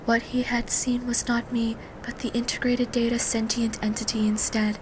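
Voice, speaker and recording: A woman acts out a mysterious teenage girl in an audio drama, fairly high-pitched and utterly monotone. She recites without any emotion, over clearly audible background noise. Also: normal speed, lightly acted. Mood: emotionless. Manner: flat, expressionless recital. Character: small, expressionless, quietly mysterious teenage girl. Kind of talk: fan audio drama acting.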